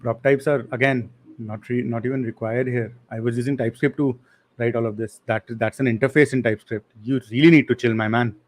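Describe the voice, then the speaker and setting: Young male instructor, casual live-class delivery, flat intonation, mid pitch. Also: brisk. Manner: casual, apologetic, talks to chat with slang. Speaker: online coding instructor.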